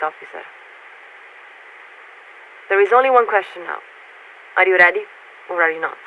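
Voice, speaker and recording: A woman playing a composed warship radio signaler in a video game, speaking in formal, measured military diction through a radio filter. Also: mid-range voice. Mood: grave, firm. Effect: radio transmission filter. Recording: tinny narrowband radio with faint static.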